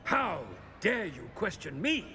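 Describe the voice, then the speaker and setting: An actor playing a pompous, blustering older headmaster in a video game cutscene. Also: male voice, sits high, sing-song, takes it slowly, gravelly, pushed and bright, faint music behind, exaggerated voice. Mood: imperious, bluffing. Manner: haughty, blustering, with hesitations. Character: pompous older headmaster, imperious, flustered, secretly an impostor.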